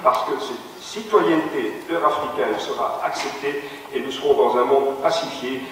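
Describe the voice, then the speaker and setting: A man's voice: mid-range voice, moderate pace, fairly bright tone. Man giving a formal speech in an echoing hall. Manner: formal, declamatory. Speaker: conference speaker. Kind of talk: formal public address. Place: large parliament hall. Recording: reverberant hall, distant mic, faint room noise.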